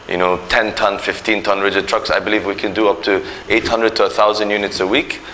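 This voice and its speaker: Deep-voiced executive man, earnest and halting. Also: fairly bright tone, a little hiss or hum, rough recording. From Nigeria. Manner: earnest, repeats himself, many fillers. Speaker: company executive. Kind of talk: corporate interview talk.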